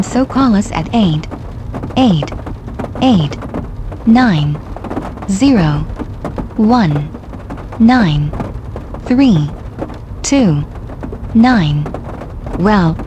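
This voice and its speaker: A composed radio talk show hostess played by a woman speaks slowly at a middle pitch. Her delivery is measured, like an announcer reading to listeners. There is clear rumbling noise behind her. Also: expressive. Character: composed female radio call-in show host.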